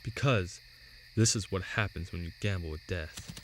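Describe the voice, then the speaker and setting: A sardonic spirit of death played by a man in a radio drama. The voice sits fairly low, and he speaks in a deliberate, mocking way. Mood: grave.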